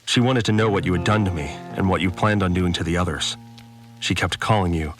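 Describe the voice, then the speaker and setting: An actor playing an earnest, devout farmer, reflecting haltingly and with wonder in an audio drama monologue. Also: male voice, sits low, lightly acted. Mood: hopeful, troubled. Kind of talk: dramatic first-person monologue. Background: music.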